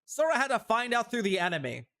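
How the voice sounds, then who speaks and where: A man's voice: very high-pitched, sing-song, quick pace, pushed and bright. A man livestreaming casual game commentary, musing and reacting aloud in loose fragments.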